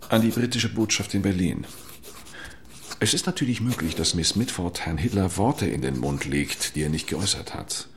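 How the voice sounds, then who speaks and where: Deep-voiced, courteous diplomat with swooping intonation. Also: male voice, lively intonation, moderate pace, background noise. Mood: formal, measured. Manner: formal, politely probing. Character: courteous, measured male British diplomat, official and sceptical. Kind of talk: dramatized audiobook documentary.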